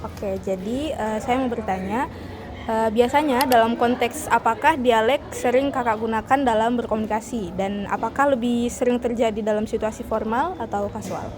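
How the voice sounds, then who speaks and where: Higher-voiced student presenter, woman. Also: normal speed, music in the background. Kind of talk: student class video.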